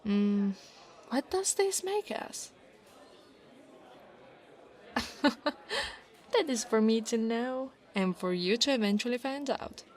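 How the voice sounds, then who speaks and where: A woman's voice: fairly high pitch, expressive, darkish tone. A woman playing a big, domineering but insecure dragon college bully in an ASMR roleplay, with a teasing, haughty manner. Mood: grudging, proud. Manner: teasing, haughty, drawn-out delivery with breathy asides.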